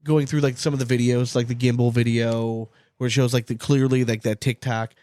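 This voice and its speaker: A North American man co-hosting a casual podcast, telling things in an animated, swear-happy way peppered with "like". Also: medium pitch, brisk, no room sound, studio quality. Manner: profane, animated storytelling with frequent "like". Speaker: podcast co-host.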